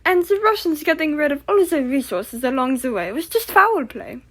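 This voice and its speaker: A woman puts on a self-important little emperor in a comedic puppet show. The voice is high and very animated. The manner is boastful, indignant and quick to take offense. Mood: indignant boasting. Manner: pompous, indignant, sarcastic. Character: touchy, boastful emperor Napoleon as talk-show guest.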